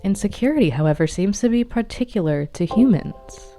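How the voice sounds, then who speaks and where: A woman narrating a guided meditation in a calm, measured, instructional manner. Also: medium pitch. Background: meditation music.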